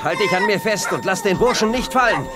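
High-voiced, animated young space hero. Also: male voice, fairly quick, over loud music. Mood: urgent, alarmed. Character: brave, decisive young male space adventurer. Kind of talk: German 1980s sci-fi radio play acting.

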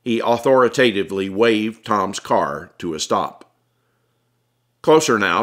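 Male author-narrator, lowish register, scripted dramatic storytelling for an audiobook. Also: a bit bright. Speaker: author reading his own audiobook.

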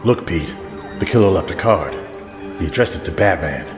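Guy playing steady police lieutenant, low measured voice, echoey room with music under it. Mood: placating. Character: calm, steady male police lieutenant investigating a murder. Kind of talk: audio drama acting. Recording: echoey hall reverb, music behind.

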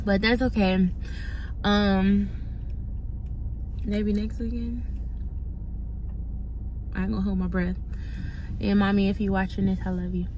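A woman's voice: medium pitch, little pitch movement, unhurried, pauses often, poor audio. A young adult woman talking casually and candidly to her camera, slangy and chatty, in a car. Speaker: vlogger. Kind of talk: casual vlog talk to camera. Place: in a car outdoors. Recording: loud car and street noise.

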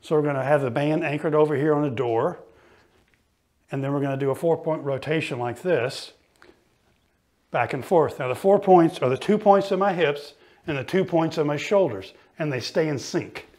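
A middle-aged man coaching ski fitness, explaining each exercise calmly while he demonstrates it in a studio. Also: a little high, moderate pace. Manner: patient, explanatory, talks while moving.

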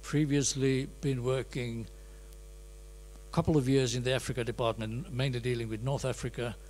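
A middle-aged man, a diplomat, introducing himself at a workshop in a small meeting room, relaxed and joking, into a handheld mic. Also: mid-range voice, moderate pace, slight background noise. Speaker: special envoy, diplomat.

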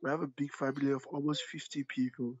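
A man's voice: medium pitch, level tone, fast talker, breathy edge. A man in his late twenties with a Nigerian accent, a guest answering interview questions about his background. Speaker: interview guest, author and activist. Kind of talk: casual interview. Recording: dry, slightly dull call line.

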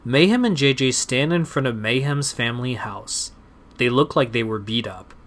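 A man's voice: medium pitch, lively intonation, moderate pace, a bit bright. A man reading scripted scene narration for a comedy audio drama.

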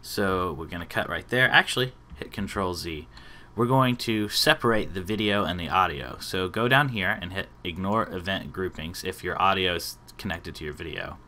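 Bright-voiced man, low pitch. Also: normal speed. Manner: informal, step-by-step explaining. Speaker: tutorial host. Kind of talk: explanatory software tutorial.

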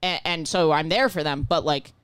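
A woman in her thirties with a deep voice, a comedian guest chatting casually on a podcast, brash and profane as she tells stories. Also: normal speed, clean tone, no room sound. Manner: brash, profane, animated storytelling. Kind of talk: casual chat. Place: small living room podcast set. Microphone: handheld mic, headphones.

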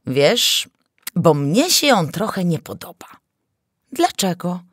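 A suspicious middle-aged village mother from a storybook, as a woman storyteller puts her on. Her voice is low and probing, full of wary questioning and lively turns. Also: slow pace, husky, no room sound, clean studio sound, heavily acted.

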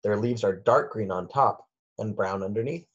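A man's voice: lowish voice, moderate pace, slightly rough, dull audio. A man reading a children's picture book aloud in simple phrases, with lilting questions for young listeners.

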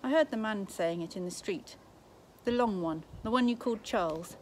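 A woman's voice: mid-range voice, normal speed, darkish tone, a little hiss or hum, natural voice, poor audio. A woman reading a story aloud in her garden, voicing a wary, cocky boy who talks in a blunt, offhand way. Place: garden outdoors.

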